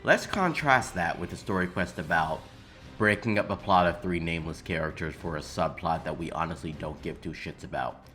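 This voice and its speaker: A man's voice: low-pitched, moderate pace, sharp tone, soft background music. A man delivering opinionated video essay commentary on games in an emphatic, informal way. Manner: emphatic, informal, occasional swearing. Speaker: video game commentary creator.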